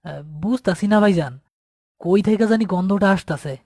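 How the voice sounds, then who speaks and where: Man acting a frightened young gravedigger's helper, higher-pitched. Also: normal speed, a little mellow, no echo, studio quality. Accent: rural Bangladeshi. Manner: hesitant, anxious, colloquial. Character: fearful young male gravedigger's helper, uneasy and respectful. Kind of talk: audio horror story acting. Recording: music behind the voice.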